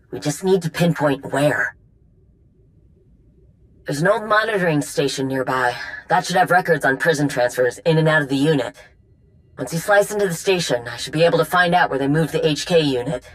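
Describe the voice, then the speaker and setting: A woman's voice: sits low, lightly acted, rough recording. A woman playing a resourceful, determined tech specialist in a video game, speaking in a casual, practical way.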